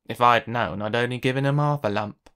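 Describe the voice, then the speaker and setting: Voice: medium pitch, brisk, a bit bright, pitched below the performer's own voice, close to an everyday voice. Narrator doing a stingy grumbly storybook man, sour and moany. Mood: sour grumbling.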